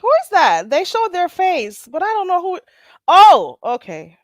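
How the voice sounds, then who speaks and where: A woman's voice: extremely high pitch, wildly swooping pitch, normal speed, dry recording. A woman hosting a live online panel, chatting informally and moderating with an amused manner. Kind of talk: casual chat, moderating.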